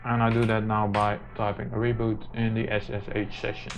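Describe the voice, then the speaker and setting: A man presenting an informal hands-on tech tutorial, speaking haltingly with very frequent hesitations. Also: lowish voice, completely flat delivery. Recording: muffled lo-fi mic, music behind.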